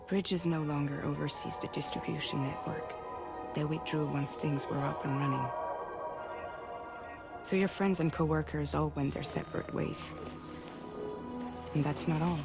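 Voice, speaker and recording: Grave, low-voiced courier boss. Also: female voice, creaky. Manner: measured, deliberate, businesslike. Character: grave, guarded woman leading a courier group in a sci-fi game. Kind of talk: cinematic game dialogue.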